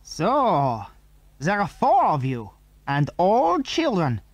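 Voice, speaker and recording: A man voicing a menacing enemy from abroad in a storybook, stern and deliberate as he commands his captives. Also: high-pitched, huge pitch swings, no echo, pitched above the performer's own voice, heavily acted. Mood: stern, gloating.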